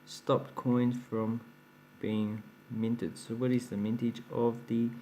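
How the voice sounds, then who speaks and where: A man's voice: mid-range voice, level tone, slow, warm tone, a little hiss or hum. A man hosting a casual coin-collecting vlog, chatty and explanatory, laughing at his own slips. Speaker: coin collecting channel host. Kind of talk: casual show-and-tell vlog.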